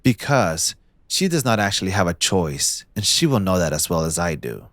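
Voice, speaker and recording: Male actor as young mafia villain, low voice, very dry close recording, smug, possessive, theatrical drawl, audio roleplay. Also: big pitch swings, moderate pace, fairly bright tone, no room sound. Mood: smug, calculating. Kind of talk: scripted ASMR audio roleplay.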